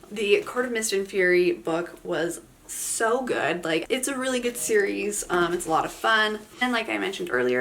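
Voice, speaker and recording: A woman's voice: medium pitch, fairly bright tone. A woman giving a casual, chatty book review, enthusiastic and informal, sounding nasal from a head cold.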